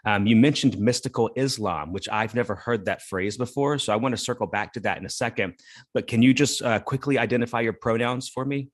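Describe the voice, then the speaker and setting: A man's voice: medium pitch, fairly quick. A man hosting an interview podcast, asking questions in a friendly, earnest way with frequent fillers. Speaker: podcast host. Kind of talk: interview conversation.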